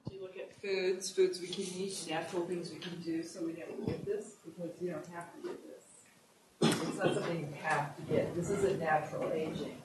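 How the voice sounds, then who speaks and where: A woman's voice: on the low side, fairly even intonation, moderate pace. A woman in the audience arguing insistently that the illness is not a normal part of aging, heard from a distance. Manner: insistent, disagreeing. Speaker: audience member. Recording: distant, echoey and noisy room mic.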